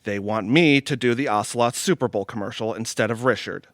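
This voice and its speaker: Guy playing a troubled jock in an audio drama, earnest and apologetic, mid-pitched, very dry recording. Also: brisk, fairly bright tone, dry recording, lightly acted. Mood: anxious, apologetic. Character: worried, apologetic male pro football player. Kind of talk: amateur audio theatre acting.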